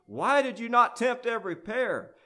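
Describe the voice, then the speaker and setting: A man's voice: very high-pitched, moderate pace, fairly bright tone, pitched above the performer's own voice. A man playing an imperious, impatient demon prince who barks menacing commands in a dramatic verse recitation. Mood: angry. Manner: barking, thundering commands.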